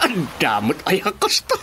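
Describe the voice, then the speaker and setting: Excitable stuttering country cousin man acting, swooping voice. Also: sits high, heavily acted. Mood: amused. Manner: stuttering, exclaiming. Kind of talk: Ilocano radio drama comedy acting. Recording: noisy ambience behind the voice.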